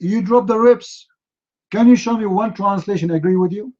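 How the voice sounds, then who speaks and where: A man's voice: high-pitched, moderate pace, darkish tone. A man whose first language is Arabic, hosting a heated online debate, combative and mocking, pressing his opponent again and again. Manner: combative, mocking, repeats demands. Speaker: debate host. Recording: dull lo-fi stream audio.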